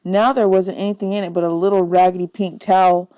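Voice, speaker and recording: A woman's voice: on the low side, little pitch movement, quick pace, mellow, dry recording. A woman reading a children's novel aloud as a storyteller. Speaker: audiobook reader, teacher.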